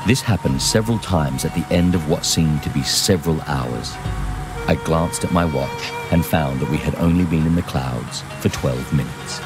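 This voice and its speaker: A man reading a first-person memoir as scripted narration, with measured, deliberate phrasing. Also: rumbling low, poor audio. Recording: music behind the voice. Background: music.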